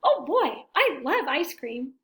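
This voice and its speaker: A woman voicing an excitable, agonizing male elephant in a storybook, with a dramatic, drawn-out delivery. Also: high-pitched, full character voice. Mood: gleeful. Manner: dramatic, drawn-out, wavering between glee and worry. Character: excitable, agonizing male elephant who loves ice cream.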